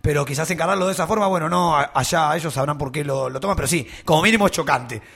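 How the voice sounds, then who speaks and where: A man with an Argentine accent hosts a laid-back radio chat. His voice is gravelly with a fairly high pitch, and he wanders through his points, restarting sentences and tossing in playful asides. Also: fast talker, a bit bright. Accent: Argentine Spanish. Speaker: radio host.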